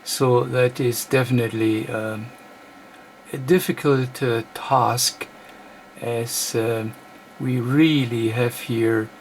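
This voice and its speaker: A man's voice: medium pitch, steady tone, slight background noise. A man who is not a native English speaker, giving an unscripted hands-on technical demonstration in short, hesitant chunks. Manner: halting, hesitant phrasing in short broken chunks. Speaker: host of electronics repair demonstrations. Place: indoor electronics workbench.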